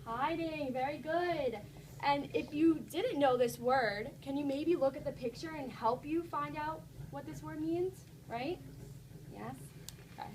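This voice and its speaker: High-pitched woman reading aloud to children. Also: measured pace, background noise. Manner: encouraging, instructive, addresses children directly. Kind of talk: interactive storybook read-aloud with questions to a class.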